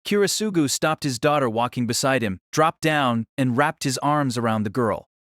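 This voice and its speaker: A man's voice: mid-range voice, animated, moderate pace, a bit bright, no echo, studio quality. A man narrating a scripted story in a dramatic audiobook style.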